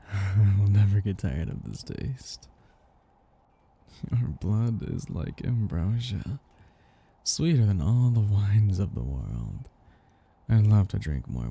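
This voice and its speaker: A man voicing a possessive, tender vampire noble in an intimate ASMR roleplay, coaxing slowly and dotingly in a husky, gravelly voice. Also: on the low side, exaggerated voice. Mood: hungry, teasing. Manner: slow, coaxing and doting, with soft hums.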